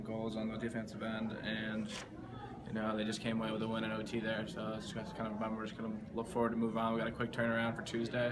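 A young man, a player, giving quick, casual postgame answers in an indoor hallway. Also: lowish voice, noisy background. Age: young adult. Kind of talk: postgame interview.

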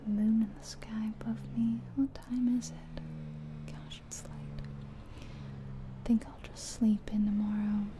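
A woman playing an easygoing night-shift taxi driver, musing softly to herself in a breathy ASMR roleplay. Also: mid-range voice, huge pitch swings, takes it slowly, slight background noise. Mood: calm, musing. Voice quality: breathy whisper. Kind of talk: soft-spoken whispered ASMR roleplay.

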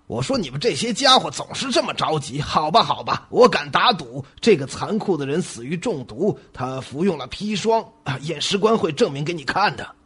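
Grumbling, sure-of-himself male doctor put on by an actor, radio drama, raised pitch. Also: very animated, moderate pace, few pauses, a bit bright, husky, heavily acted. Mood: exasperated, confident. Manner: grumbling, assertive.

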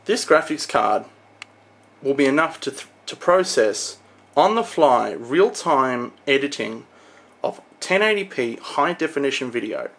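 A man's voice: medium pitch, very animated, unhurried, creaky, fairly bright tone. A young man giving an informal, explanatory product walkthrough on camera as a video presenter. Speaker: video presenter showing computer parts.

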